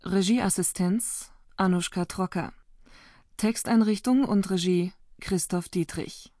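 A woman announcing radio drama titles and credits in a formal, deliberate, scripted manner. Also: on the low side, warm tone, no echo, studio quality. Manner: formal, deliberate list reading.

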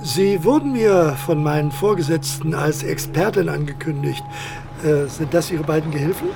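An actor playing a stern, formal military officer in a radio drama, speaking in a clipped, official, commanding way. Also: male voice, on the high side, moderate pace, gravelly, over music. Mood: formal, controlled. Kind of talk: German radio drama acting.

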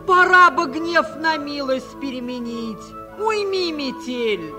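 An actress playing Spring, a stately, tender mother spirit, in a declamatory, unhurried 1940s radio fairy-tale style. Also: sits high, lively intonation. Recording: 1949 radio recording, faint music behind.

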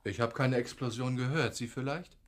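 An actor in a German radio play playing a worldly, sardonic arms dealer, dry and businesslike. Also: male voice, medium pitch, fairly even intonation, normal speed, crowd noise, natural voice.

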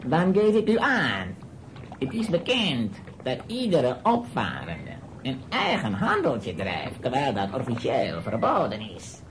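A man's voice: medium pitch, huge pitch swings, measured pace, a little hiss or hum. A man playing a scheming nobleman in a children's radio play, sly and theatrical. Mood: smug, threatening. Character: scheming male Italian nobleman, sly blackmailer.

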